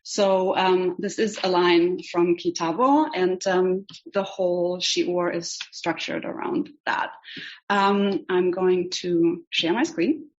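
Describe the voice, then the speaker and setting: A woman with a German accent leads an online class, her voice pitched on the low side. She speaks hesitantly, filling gaps with frequent ums. The audio is dull and lo-fi, as from a video call. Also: steady tone, slow pace. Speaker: rabbinical student teaching a class.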